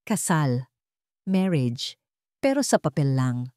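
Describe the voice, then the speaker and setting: Lady doing a rich young ceo guy in a story drama, firm n businesslike, very animated delivery. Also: low voice, slow pace, dry recording, studio quality, exaggerated voice. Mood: persuasive, businesslike. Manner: firm, pragmatic, urgent. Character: commanding wealthy young male CEO, businesslike, persuasive.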